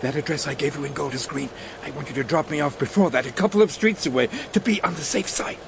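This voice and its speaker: Terrified, high-pitched paranoid man. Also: quick pace. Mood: anxious, furtive. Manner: panicky, agitated, pleading. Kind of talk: radio drama acting. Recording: clear traffic and vehicle noise behind.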